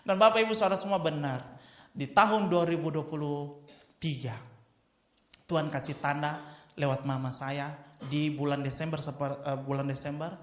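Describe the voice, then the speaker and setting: A man's voice: on the high side, fairly even intonation, moderate pace, pauses often, fairly bright tone. A man in his twenties preaching a sermon with personal testimony, emphatic and often addressing the congregation. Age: 20s. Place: church hall. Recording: echoey church hall.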